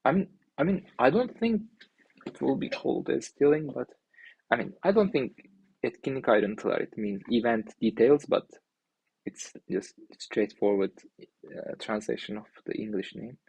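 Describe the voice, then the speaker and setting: A young man from Turkey with a Turkish accent, thinking aloud in halting, filler-heavy speech while he reviews an app on screen. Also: mid-range voice, animated, creaky, darkish tone, breathy edge, no room sound, muffled recording. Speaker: indie maker reviewing an app. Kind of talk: casual screen-share commentary.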